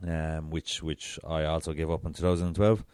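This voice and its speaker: An Irish man with a very deep voice hosting a solo podcast, chatty and self-deprecating. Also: flat delivery, normal speed, darkish tone, smooth, no echo, clean studio sound. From south of Ireland. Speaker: podcast host.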